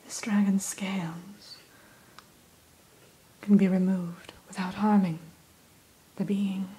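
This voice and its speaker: Soft-whispering woman, ASMR roleplay. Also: medium pitch, little pitch movement, low-quality recording. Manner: soft, slow unvoiced whisper; gentle, storytelling tone addressing the listener. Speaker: ASMR roleplay host as dragon hatchery keeper.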